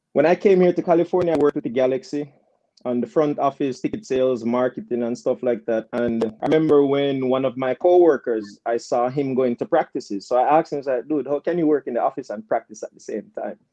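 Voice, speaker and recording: A man's voice: mid-range voice, brisk, dry recording, highs cut off. Jamaican man telling stories, dry close sound. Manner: animated retelling with quoted dialogue, frequent "right?" tags. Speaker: soccer coach and guest. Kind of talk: casual anecdotal storytelling. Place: video call.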